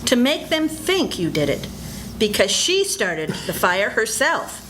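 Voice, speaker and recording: A woman's voice: a little high, big pitch swings, a little slow, fairly bright tone, a little hiss or hum, low-quality recording. An older woman playing a nervous, suspicious friend in an amateur old-time radio melodrama, speaking urgently and fearfully.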